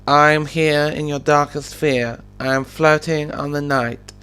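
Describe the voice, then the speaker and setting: A man voicing a storybook adventurer who reads out riddles in a slow, deliberate, theatrical way. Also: fairly high pitch, little pitch movement, pushed and bright, clean tone, soft background music, pitched above the performer's own voice. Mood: theatrical, pondering. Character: Sir Pixel, male adventurer reading riddles slowly and theatrically.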